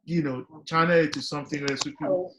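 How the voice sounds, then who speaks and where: A man's voice: on the high side, fairly even intonation, fairly quick, fairly bright tone, a little hiss or hum. A man with a Nigerian accent, speaking as a guest panelist in an earnest, motivational way, with many fillers and restarts. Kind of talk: casual webinar discussion.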